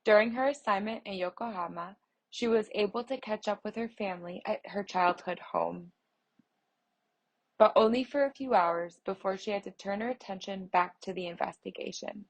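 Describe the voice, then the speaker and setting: A woman voicing a news feature aloud, reading in a steady, measured way with the odd small restart. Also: mid-range voice, rough recording. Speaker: voice interpreter reading an article.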